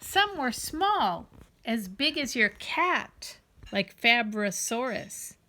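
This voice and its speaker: A woman reading a children's picture book aloud in an expressive, deliberate, emphatic storytelling manner. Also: a little high.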